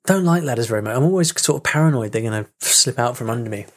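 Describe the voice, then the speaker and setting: A man's voice: medium pitch, lively intonation, quick pace. Chatty male podcast host. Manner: chatty, self-interrupting with fillers and laughs. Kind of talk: casual solo podcast talk.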